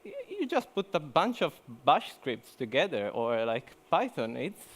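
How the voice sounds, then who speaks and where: A man's voice: a little high, sing-song, a little slow. Chatty man with fillers. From Italy. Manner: chatty, many fillers and restarts. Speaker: conference speaker. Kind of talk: joking conference talk. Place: conference hall.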